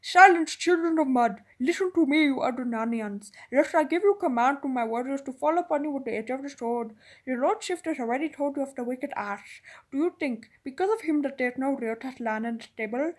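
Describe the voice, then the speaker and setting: A woman's voice: sits high, brisk, natural voice. A woman voicing a stern male warlord who thunders threats at a crowd. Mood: commanding. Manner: thundering commands.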